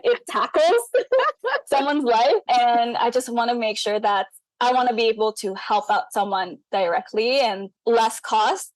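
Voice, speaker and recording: A woman's voice: a little high, no room sound, poor audio. A young woman from the Philippines, a guest in a casual interview, talking earnestly and upbeat with frequent laughs. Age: young adult.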